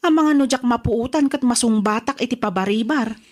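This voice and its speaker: Woman acting a gossipy ilocano in-law stopping by, fairly high voice, radio drama style. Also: fairly quick, darkish tone, close to an everyday voice. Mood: chatty.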